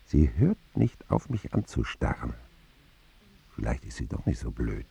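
A man's voice: very deep voice, sing-song, a little slow, creaky, mellow, breathy edge, full character voice. An actor playing a wry, reflective man who narrates his boyhood in an intimate radio drama style. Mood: wry, watchful.

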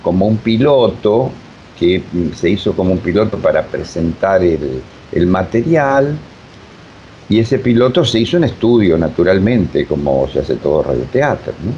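An older man with an Argentine Spanish accent answers interview questions conversationally, in a halting, digressive way, often repeating himself. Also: expressive, warm tone. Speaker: interviewed theater director and voice teacher. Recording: dull sound with faint rumbling noise.

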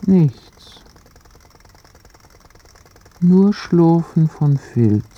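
A woman narrating a poetic radio drama in a slow, hushed and reflective way. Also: sits low, expressive, dry recording, dull audio.

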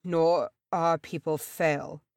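Lady reading as a noble warrior guy, quiet, gentle, grave, lowish voice, dry sound. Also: normal speed, no room sound, lightly acted. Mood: solemn resolve. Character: gentle, solemn warrior man, heir to kingship.

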